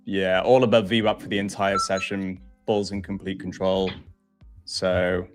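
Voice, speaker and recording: A man hosting a live trading stream, from Wales but without a Welsh accent, explaining probabilities in relaxed, casual chat. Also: sits low, a little slow, faint music behind. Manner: explains stats plainly, relaxed banter.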